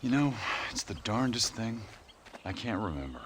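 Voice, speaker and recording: Game casting: mysterious Old West stranger, low American voice, unruffled and ominous. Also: male voice, very animated, moderate pace, background noise. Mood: calm, cryptic. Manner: cryptic, unruffled. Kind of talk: video game cutscene acting.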